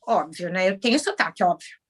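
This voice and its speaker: A Brazilian woman in her forties, an orthodontist turned house cleaner, chatting in an interview and acting out conversations with animated voices. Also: lowish voice, a little fast, husky. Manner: animated, acts out dialogue with others in shifted voices. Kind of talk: casual interview storytelling.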